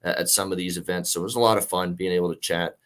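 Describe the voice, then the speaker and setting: Gravelly, low-voiced male sports host. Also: steady tone, very fast, a bit bright. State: voice worn from a night of singing. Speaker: host of a sports betting show. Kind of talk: casual sports talk.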